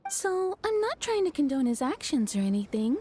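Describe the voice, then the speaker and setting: A woman's voice: sits high, big pitch swings, normal speed. A woman voicing a cheerful young townswoman in a video game who tells stories in a chatty, amused way. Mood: warm, amused. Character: cheerful young woman of the town, fond storyteller. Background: soft game music.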